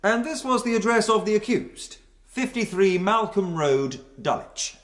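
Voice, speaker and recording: An actor playing a stern, formal prosecuting barrister with a declamatory manner in a radio drama. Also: male voice, high voice, animated, a little slow, a bit bright. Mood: stern, probing. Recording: echoey courtroom-like room sound.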